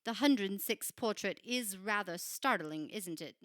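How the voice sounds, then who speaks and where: A woman acting in a radio play as a grave, knowing churchwoman, telling of dark things in a measured, dramatic way. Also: medium pitch, animated, no room sound, clean studio sound. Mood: ominous. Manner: measured, solemn, dramatic storytelling.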